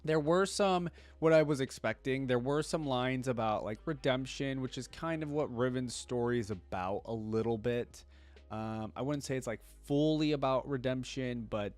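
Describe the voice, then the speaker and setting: A man's voice: mid-range voice, darkish tone. A man hosting a reaction video, giving casual commentary in an informal, musing way.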